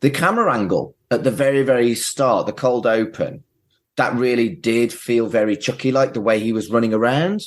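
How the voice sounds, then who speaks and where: A man's voice: mid-range voice, moderate pace. A man in his forties hosting a casual podcast, talking in an emphatic, sweary way. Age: 40s. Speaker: podcast host.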